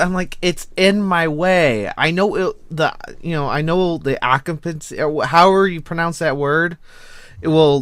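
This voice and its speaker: A man's voice: on the high side, fairly bright tone, no echo. Casual male host, dry close sound. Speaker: podcast host. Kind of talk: casual panel discussion.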